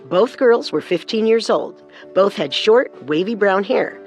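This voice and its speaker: A woman narrating a scripted true-crime story, measured and with storyteller's emphasis. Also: medium pitch, animated, a little mellow. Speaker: co-host narrator. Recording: music under the voice.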